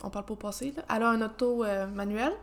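Québécois woman with a higher voice. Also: fast. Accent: Québécois French. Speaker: podcast guest. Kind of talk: casual chat.

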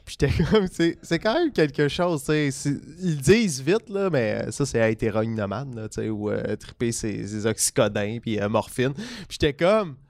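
A man's voice: medium pitch, big pitch swings, normal speed, hardly any pauses, a little mellow, no echo. A man with a Quebec French accent in casual comic chat, with a hesitant, meandering delivery.

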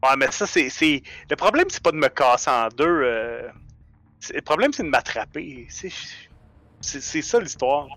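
Brief: cocky, joking man voicing his own character, sharp bright tone, trips over his words. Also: medium pitch, sing-song, normal speed, no echo. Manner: playful, boastful, stammering restarts. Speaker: player. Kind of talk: playing a character in a tabletop game.

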